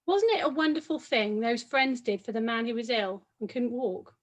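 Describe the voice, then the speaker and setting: A woman with a fairly high voice talks straight to young children as a presenter. Her delivery is chatty and simple, with questions put to the listeners. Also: moderate pace. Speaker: children's Bible story presenter.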